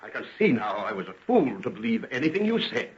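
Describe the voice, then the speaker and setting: A man's voice: medium pitch, very animated, fast talker, slight background noise, natural voice. An actor playing a righteous older father, defiant and outraged, in the declamatory, formal manner of old radio drama. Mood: indignant disbelief. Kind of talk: 1940s radio drama acting. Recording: muffled, echoey old radio recording.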